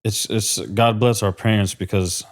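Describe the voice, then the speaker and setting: Earnest, low-voiced man from Florida. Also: fairly even intonation, moderate pace. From South Florida, USA. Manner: earnest, emotional, many fillers and restarts. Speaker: guest. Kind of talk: casual interview talk.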